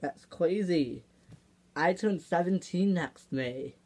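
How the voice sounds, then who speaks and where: A woman's voice: lowish voice, takes it slowly, noisy background. A woman voicing a laid-back teenage cartoon boy, casual and matter-of-fact, in an improvised character play.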